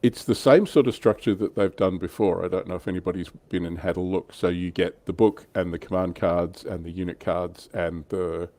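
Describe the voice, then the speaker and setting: Low, mellow-voiced man hosting a chat. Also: steady tone, normal speed, hardly any pauses, no echo. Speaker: host and reviewer on a tabletop wargaming livestream. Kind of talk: casual panel chat.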